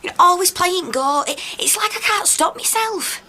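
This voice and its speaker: An actress playing a cheeky, blunt miner's wife with a Northern English accent in a naturalistic radio drama. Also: female voice, very high voice, lively intonation, moderate pace, bright, forceful tone, heavily acted. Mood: worried, self-blaming. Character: cheeky, mouthy miner's wife occupying a pit, self-doubting. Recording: clear background noise.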